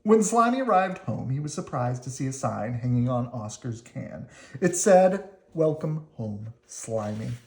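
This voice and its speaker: A woman reading a children's storybook aloud in an expressive, dramatic way. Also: a bass voice, normal speed. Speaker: storybook reader. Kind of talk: children's read-aloud narration.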